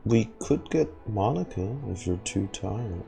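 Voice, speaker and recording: A man's voice: lowish voice, animated, unhurried, vocal fry. A man giving casual live commentary while streaming a game, with a colloquial, muttering manner. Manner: colloquial, muttering reactions, addresses chat. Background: faint game music.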